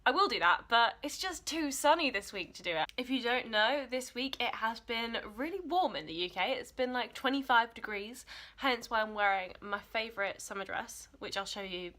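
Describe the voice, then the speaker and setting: A woman's voice: on the high side, animated, moderate pace, sharp tone. A young woman in her twenties giving enthusiastic, informal recommendations in a casual, chatty vlog style. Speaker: book vlogger.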